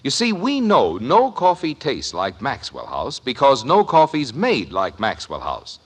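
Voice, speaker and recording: A man's voice: mid-range voice, wildly swooping pitch, a little slow, slightly rough. A man reading a 1950s radio commercial as announcer, in an emphatic, salesmanlike way.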